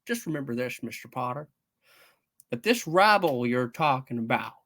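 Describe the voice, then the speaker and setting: Man acting an earnest, stammering young idealist, swooping pitch. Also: lively intonation, a bit bright. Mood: indignant. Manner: stammering, impassioned, halting. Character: earnest, indignant young man defending his late father. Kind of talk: hammed-up amateur reading of a classic radio play.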